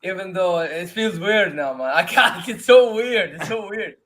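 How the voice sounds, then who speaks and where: A man's voice: high-pitched, lively intonation, a little slow, a bit bright. Guy on a call telling a funny story, laughs a lot, voice jumps around. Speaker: watchalong host. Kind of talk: casual chat.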